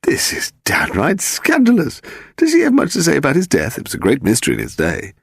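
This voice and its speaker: A man's voice: on the high side, huge pitch swings, a little fast, vocal fry, breathy edge, no room sound, clean studio sound, voice pushed up, exaggerated voice. A man voicing a distinguished, donnish historian in his sixties who exclaims readily and is easily delighted. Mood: astonished, intrigued. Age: 60s.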